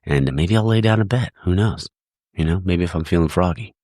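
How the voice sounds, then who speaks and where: Very deep-voiced congested male host. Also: brisk, no echo, studio quality. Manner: relaxed and grateful. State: congested and tired. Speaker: podcast host. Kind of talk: solo episode outro.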